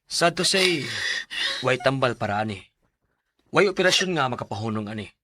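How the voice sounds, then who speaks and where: A man playing a grave doctor explaining things in a radio drama, measured, with a Filipino Bisaya accent. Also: mid-range voice, animated, pushed and bright, slight background noise.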